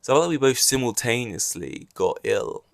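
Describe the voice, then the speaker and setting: A man's voice: medium pitch, very animated, brisk, vocal fry, no room sound, clean studio sound. A young man hosting a casual solo podcast, rambling with wry asides and a slightly scratchy throat. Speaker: podcast host.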